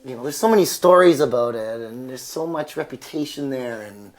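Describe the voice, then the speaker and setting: A man from Canada, a musician and singer-songwriter, answering interview questions in a halting, searching way. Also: mid-range voice, lively intonation. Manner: halting, full of fillers and restarts. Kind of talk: casual interview.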